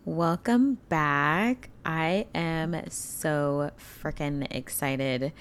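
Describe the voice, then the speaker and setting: Woman, low pitch, hoarse froggy voice, casual motivational podcast host, emphatic phrasing. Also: drawn-out words. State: hoarse from allergies. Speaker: podcast host and empowerment coach.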